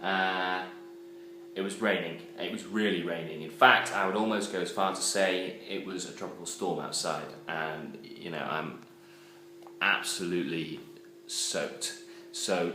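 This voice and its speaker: A man with a low, deep voice chats casually into the camera. He pokes fun at himself and fills his pauses with ums and uhs. His voice comes from well away from the mic, with heavy echo and a little background noise. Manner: chatty, self-mocking, with frequent ums and uhs. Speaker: vlogger. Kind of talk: casual vlog talk to camera. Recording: very echoey, distant mic, faint noise.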